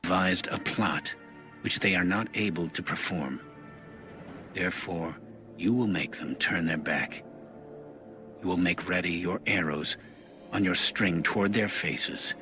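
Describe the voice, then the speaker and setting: A man with a very low yet forceful, bright voice reads scripture as a solemn narrator. Music plays behind his measured, scripted delivery. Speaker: narrator of an audio Bible.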